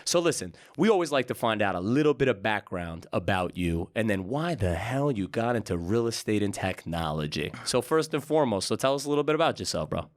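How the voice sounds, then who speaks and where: A man's voice: on the low side, sing-song, brisk, few pauses. A man hosting a podcast in a brash, slangy, hyped-up way, from Brooklyn. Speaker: podcast host. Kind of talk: casual podcast chat.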